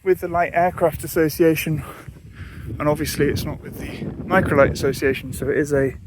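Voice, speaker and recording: A man's voice: on the high side, steady tone, brisk. A man who is a pilot, giving casual vlog commentary outdoors at an airfield. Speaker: pilot and vlogger. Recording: clear outdoor ambient noise.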